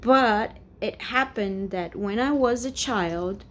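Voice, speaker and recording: Slow, halting Brazilian woman in her forties. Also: mid-range voice, fairly bright tone, slight background noise. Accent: Brazilian Portuguese. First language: Portuguese. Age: 40s. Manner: halting, hesitant, searching for words. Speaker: vlogger. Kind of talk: rambling personal vlog. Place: home, indoors.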